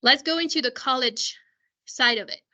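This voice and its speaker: Bright-voiced woman counselor, voice fading. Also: high voice, normal speed. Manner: explanatory, with frequent restarts. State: losing her voice. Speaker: school counselor. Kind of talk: explaining a software walkthrough to parents. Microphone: video call.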